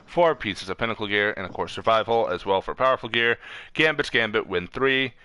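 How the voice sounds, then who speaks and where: A man's voice: on the low side, lively intonation, fairly quick, sharp tone, clean tone, dry recording. A man hosting a casual gaming stream, reading off lists informally, stumbling over words and correcting himself. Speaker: streamer host.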